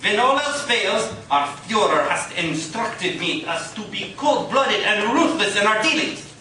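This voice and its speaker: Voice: mid-range voice, big pitch swings, measured pace, bright, forceful tone. An actor playing a menacing, ruthless Nazi leader in theatrical, commanding old-time radio style. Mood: cold menace. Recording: echoey live coffee house, distant mic, noisy.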